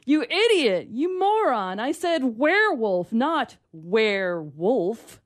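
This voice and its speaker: Woman as a hollering, high-pitched mad scientist boss. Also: very animated, slow pace. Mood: furious. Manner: hollering, exasperated. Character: furious mad scientist boss berating an apprentice. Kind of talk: dramatic reading of comic fiction.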